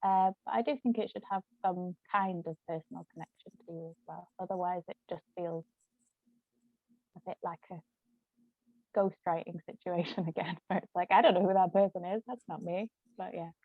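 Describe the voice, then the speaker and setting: Lower-voiced woman on thin phone-like audio. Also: steady tone, normal speed, creaky, dry recording. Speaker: author. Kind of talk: casual chat.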